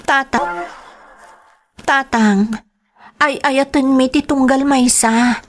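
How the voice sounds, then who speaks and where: A woman's voice: high voice, fairly even intonation, slow pace, a little hiss or hum. A woman with an Ilocano accent acting an earnest young woman in love in a radio drama. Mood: pleading.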